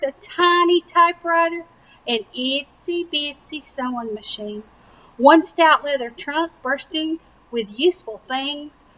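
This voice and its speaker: A woman reading a picture book aloud to young children with animated emphasis and simple explanatory asides. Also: sits high, rough recording. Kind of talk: slow, expressive children's read-aloud.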